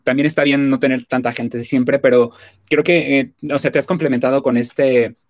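A man with a Mexican accent conducting a casual interview, working toward his questions in a halting, roundabout way. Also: medium pitch, fairly even intonation, no echo. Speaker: magazine interviewer.

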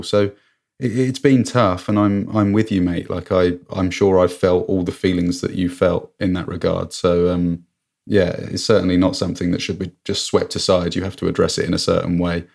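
A man co-hosting a podcast over a remote call, talking earnestly and reflectively, with sudden leaps up into a high-pitched exclamation. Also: vocal fry, darkish tone. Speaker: podcast co-host. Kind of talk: casual chat.

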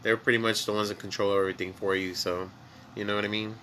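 A man whose first language is Spanish, speaking with a Mexican accent as a podcast co-host, rambling in a relaxed way with many fillers while high. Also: fast talker, a little hiss or hum. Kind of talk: casual chat.

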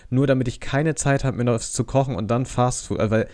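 A man chatting casually on a podcast, informal and often halting to rephrase himself. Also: medium pitch, darkish tone. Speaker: podcast co-host.

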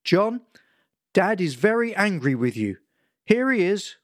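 A man putting on a shrill panicked mother. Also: big pitch swings, a little slow, no echo, studio quality. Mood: alarmed.

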